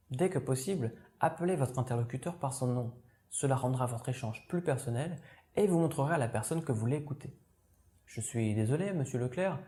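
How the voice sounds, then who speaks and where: A man narrating a scripted language lesson as the teacher, in a formal, measured, instructional manner. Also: mid-range voice, dark tone.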